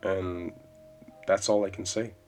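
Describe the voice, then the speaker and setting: Rambling young man, deep voice, jazz behind. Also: flat delivery, slow pace. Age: 20s. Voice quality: loud voice with bass. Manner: rambling, casual, swearing freely. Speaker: podcast host. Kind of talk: solo podcast monologue. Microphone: podcast mic. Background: soft jazz music.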